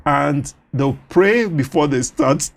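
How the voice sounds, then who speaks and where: A man with a Nigerian accent whose first language is Yoruba, talking as a doctor in a studio interview, animated and quick to laugh. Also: a little high, creaky. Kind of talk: conversational interview.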